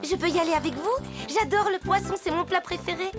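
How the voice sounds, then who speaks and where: A woman's voice: very high-pitched, a little fast. A woman voicing a superstitious, dramatic young female animal in a children's cartoon, speaking emphatically. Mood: eager. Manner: emphatic, dramatic, given to gloomy warnings. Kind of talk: children's cartoon dub acting. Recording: music under the voice.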